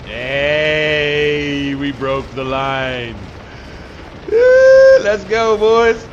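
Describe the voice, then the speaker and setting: A man hosting a casual multiplayer gameplay session, relaxed and joking, often muttering quietly to himself. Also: on the high side, very slow, highs cut off. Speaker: channel host. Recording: noisy game audio behind.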